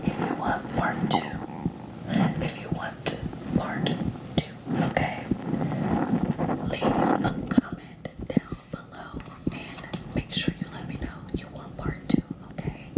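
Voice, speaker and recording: Female ASMR host, casual whispered address to viewers, soft and repetitive, roomy echo. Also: slow, distant mic.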